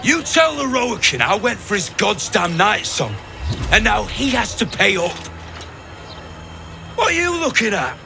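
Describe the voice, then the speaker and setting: An actor playing a bitter, hostile mercenary in a fantasy game, with a curt, confrontational manner. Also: male voice, high voice, very animated, measured pace, gravelly, pauses often, music in the background, heavily acted.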